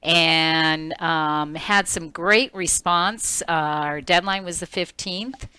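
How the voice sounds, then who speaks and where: A woman's voice: low-pitched, slow pace, pushed and bright, clean tone, dry recording. A woman host chatting casually with a panel, laughing often as she goes. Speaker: talk show host and magazine editor.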